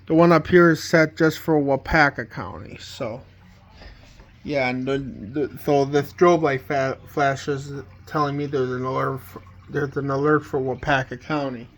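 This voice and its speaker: A man giving a casual, unscripted home video tour as a hobbyist, speaking plainly and haltingly with pauses mid-sentence. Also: mid-range voice, steady tone. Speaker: hobbyist vlogger showing a collection. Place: indoors at home, walking between rooms.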